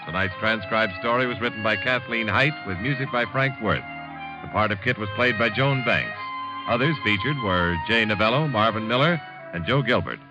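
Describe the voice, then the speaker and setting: A man's voice: on the low side, lively intonation, normal speed. An American man reading scripted credits in a 1950s radio announcer style. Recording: muffled vintage radio with loud music.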